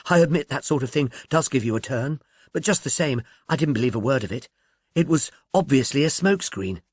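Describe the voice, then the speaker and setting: A man's voice: medium pitch, lively intonation, brisk, husky, no room sound, clean studio sound. A man voicing an agreeable, candid young film actress with a breezy, gossipy manner in an audiobook.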